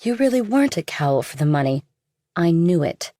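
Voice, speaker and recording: A woman's voice: on the low side, big pitch swings, moderate pace, dark tone, no room sound, clean studio sound. A woman voicing a bold, inquisitive young civilian, talking bluntly and casually in audiobook dialogue. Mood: curious, self-satisfied.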